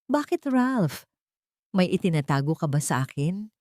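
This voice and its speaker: A woman's voice: fairly low pitch, very animated, unhurried, a little mellow, no room sound. Woman acting a betrayed, bitterly seething young woman. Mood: cold, accusing. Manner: hard, bitter, restrained anger.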